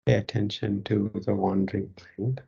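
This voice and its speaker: A yoga teacher guiding a meditation in a calm, measured way, pausing between short phrases, on a dull, muffled recording. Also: low-pitched, vocal fry, breathy edge. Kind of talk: guided meditation instruction.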